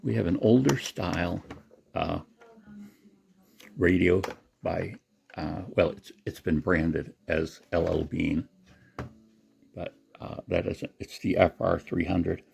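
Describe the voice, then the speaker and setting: A man's voice: low voice, takes it slowly, creaky, pauses often, a little mellow. A man giving a casual, unscripted product demonstration in a rambling, matter-of-fact way. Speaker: presenter showing a secondhand gadget.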